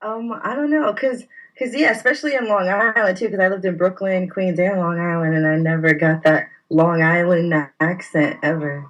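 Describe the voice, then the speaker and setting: A woman's voice: on the low side, fairly even intonation, moderate pace, hardly any pauses, fairly bright tone, low-quality recording. A woman from New York, a professional wrestler, chatting as a guest in a casual interview and laughing easily. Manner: friendly, giggly, easygoing.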